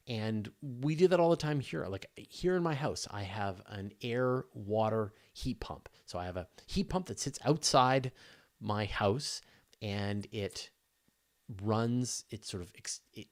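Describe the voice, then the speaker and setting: A man hosting a casual live question-and-answer session, explaining things step by step in an enthusiastic, conversational way. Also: mid-range voice. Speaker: host of a live astronomy Q&A.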